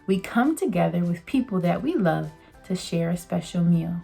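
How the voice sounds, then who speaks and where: A woman's voice: on the low side, expressive, moderate pace, gravelly, mellow, faint music behind. A woman teacher giving a children's read-aloud lesson, speaking directly to young listeners in an encouraging way.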